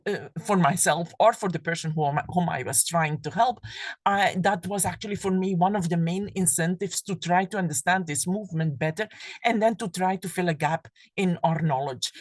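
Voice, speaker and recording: A woman lecturing on an academic subject, her voice pitched on the low side with a soft, breathy edge. She speaks thoughtfully and restarts phrases as she reaches for the right word. The sound is dry, with almost no room around it. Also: normal speed, no echo. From Belgium. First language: Flemish. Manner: thoughtful, restarts phrases while searching for words. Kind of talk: university lecture.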